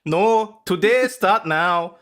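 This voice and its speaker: A man playing an ailing juror in halting broken English, with a clumsy put-on accent that drifts. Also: high-pitched, a bit bright. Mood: plaintive, halting.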